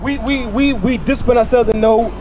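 A man's voice: very high voice, brisk, a little mellow, slight background noise. A young man street preaching outdoors into a handheld mic, emphatic and questioning, often repeating words. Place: outdoors by a parking lot.

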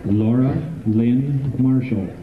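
Slow, echoey male announcer reading names. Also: medium pitch. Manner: measured, deliberate, pausing between names. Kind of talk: formal ceremonial roll call. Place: outdoor sports field. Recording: echoey outdoor PA, dull noisy tape.

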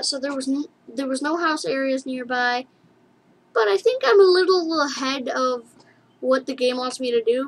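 A woman casually narrating her play of a game for viewers, rambling and chatty, with giggles and excited yelps. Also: sits high, a little hiss or hum. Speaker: gaming YouTuber. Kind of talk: casual let's play commentary. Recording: game music under the voice.

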